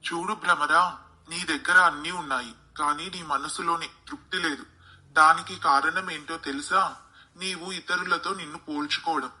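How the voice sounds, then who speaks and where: A man voicing a wise, affectionate husband in a bedtime story, giving measured moral counsel. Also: fairly high pitch, bright, forceful tone, rough recording. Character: wise, affectionate husband gently counselling his jealous wife.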